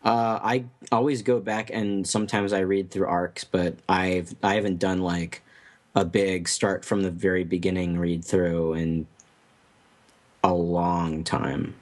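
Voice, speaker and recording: A man co-hosting a podcast in relaxed casual chat, filling his speech with "like". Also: low voice, measured pace. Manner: relaxed, fills with "like" often. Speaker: podcast co-host.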